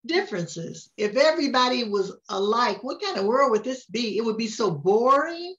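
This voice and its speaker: An older woman reading to children and chatting gently about a picture book, often laughing softly. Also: fairly high pitch, animated, moderate pace. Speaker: storytime reader. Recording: dull lo-fi sound.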